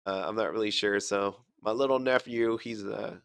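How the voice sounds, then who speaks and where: A man's voice: fairly low pitch, steady tone, normal speed, gravelly, clear, resonant tone, dry recording, studio quality. A sick man rambling through casual gameplay commentary, chatty and self-deprecating, with lots of fillers and restarts. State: sick, fighting a cough. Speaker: gaming channel host.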